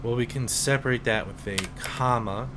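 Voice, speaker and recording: A man in his twenties, a teacher, calmly explaining programming in a casual screen-recorded tutorial with some fillers. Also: medium pitch, background noise. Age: 20s. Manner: slow, relaxed explaining with fillers.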